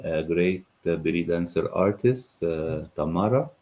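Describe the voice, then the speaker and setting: A man from Egypt, hosting a live interview over a video call, hesitant and pausing often. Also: low voice, darkish tone. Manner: hesitant, many uh fillers. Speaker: interviewer, host.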